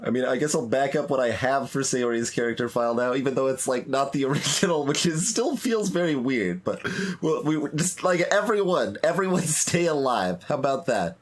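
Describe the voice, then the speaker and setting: A man's voice: medium pitch, lively intonation. Man musing through a game playthrough. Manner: musing aloud, halting with fillers. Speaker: game streamer. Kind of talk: voiced video game playthrough.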